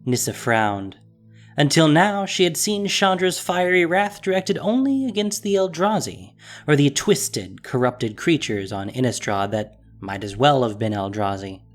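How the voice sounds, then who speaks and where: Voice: medium pitch, very animated, unhurried. A narrator reading a scripted fantasy story in a dramatic storytelling style.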